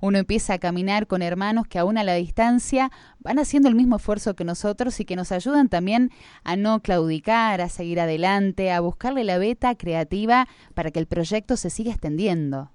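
A woman's voice: medium pitch, fairly quick, a little mellow, smooth, no echo, clean studio sound. A woman with an Argentine accent hosting a live radio interview, speaking in long, reflective phrases with many diminutives. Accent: Argentine Spanish. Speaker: radio host.

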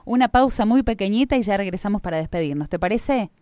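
A woman's voice: medium pitch, animated, quick pace. Argentine woman hosting a radio talk. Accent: Argentine Spanish. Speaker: radio host. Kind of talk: live radio interview.

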